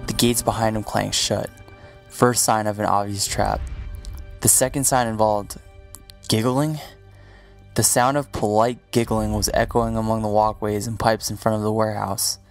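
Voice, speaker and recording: Low-voiced man narrating over guitar music. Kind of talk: scripted story narration.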